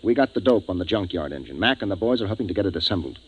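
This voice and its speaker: Low-voiced, grave 1950s radio spaceship captain. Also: male voice, lively intonation, rapid-fire, husky. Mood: pleased, brisk. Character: grave, decisive spaceship captain who narrates the tale. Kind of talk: 1950s radio drama acting and narration. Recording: dull 1950s radio recording, music behind.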